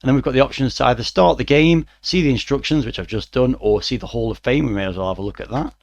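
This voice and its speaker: A middle-aged man with a croaky voice, reviewing a retro game with dry, wry asides. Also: mid-range voice, fast talker, no echo, muffled recording. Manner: dry, mildly wry asides with frequent "uh" fillers. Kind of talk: relaxed spoken review.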